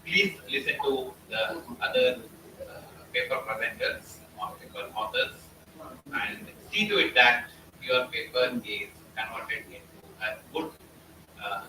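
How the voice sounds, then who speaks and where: Man, conference guest speaker, halting delivery, echoey distant hall audio with noise. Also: mid-range voice, lively intonation. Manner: halting, many "uh" pauses. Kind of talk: formal speech with frequent fillers. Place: auditorium, heard from a distance. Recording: roomy hall audio from far off, noisy.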